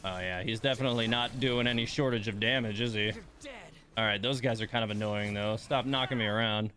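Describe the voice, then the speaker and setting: A man's voice: fairly low pitch, fairly even intonation, fairly quick, sharp tone. A man giving casual live game commentary, thinking aloud in broken, hesitant phrases. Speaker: game livestream host.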